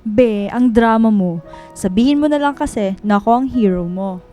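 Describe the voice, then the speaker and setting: A woman's voice: fairly high pitch, moderate pace, warm tone, soft background music, close to an everyday voice. A woman playing a supportive, teasing young best friend in a radio drama, with an encouraging manner and a Filipino accent. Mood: playful. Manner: encouraging, playful. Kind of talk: radio drama acting, conversational.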